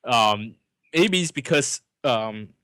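Film podcast host, man, Cantonese native, bright tense voice, dry sound, halting delivery. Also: mid-range voice, animated, smooth, no echo. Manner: hesitant, many ums and restarts. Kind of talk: casual film review chat.

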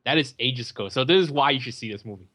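A man with a bright, forward tone talks casually about a film on a podcast. He rambles a bit, filling gaps with ums and restarting his sentences. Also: mid-range voice, fast. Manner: chatty, many fillers and restarts.

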